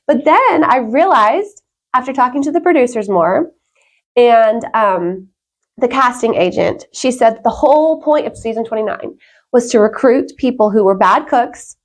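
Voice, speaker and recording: A woman's voice: fairly high pitch, animated, moderate pace. A woman guest on a talk show, telling her own story in an earnest, emphatic, conversational way. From Wales.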